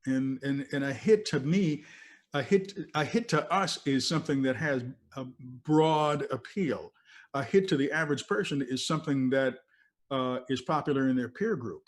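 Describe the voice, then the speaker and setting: A man's voice: mid-range voice, moderate pace. An older man, a veteran radio DJ, talking casually and reminiscing in an informal, conversational way as an interview guest. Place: video call from a cluttered room.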